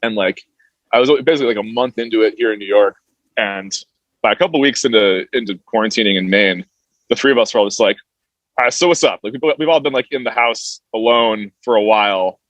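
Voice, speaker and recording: A man's voice: lowish voice, quick pace, no room sound, rough recording. A man being interviewed as a band member, chatting casually with slang and acting out bits of past conversations. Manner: slangy, animated retelling with quoted speech. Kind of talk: casual chat.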